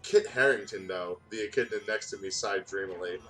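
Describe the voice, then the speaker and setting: A man's voice: lowish voice, a little fast, sharp tone, soft background music. A man reading fan fiction aloud in a casual, joking way, often stumbling over his words.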